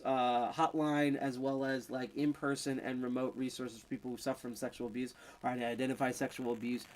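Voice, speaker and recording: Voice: medium pitch, darkish tone, poor audio. A game streamer chatting casually and a little tipsy while playing, rambling and joking as they go. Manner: rambling, jokey, trails off and restarts. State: slightly tipsy.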